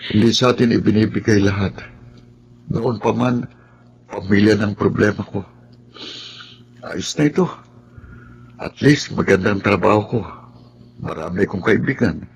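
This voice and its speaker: A man's voice: lowish voice, unhurried, creaky, pauses often, a little hiss or hum. A man playing a stoic, good-natured young Filipino accepting hardship, speaking earnestly and reflectively in a radio drama. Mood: resigned, light. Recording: music under the voice.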